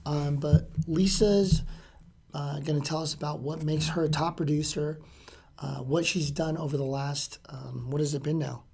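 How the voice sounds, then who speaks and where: A man from California with a hoarse, raspy voice after a lot of talking, chatting casually as he interviews a guest in a real estate office. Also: a little high, steady tone, moderate pace, mellow. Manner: chatty, with frequent "uh" fillers.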